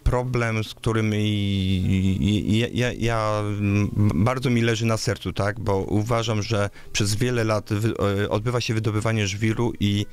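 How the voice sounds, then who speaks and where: Hesitant man, low voice, radio studio. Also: steady tone, unhurried, few pauses, smooth. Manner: hesitant, many filler sounds and restarts. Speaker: candidate for local office. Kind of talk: radio interview answers. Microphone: studio microphone on a boom arm.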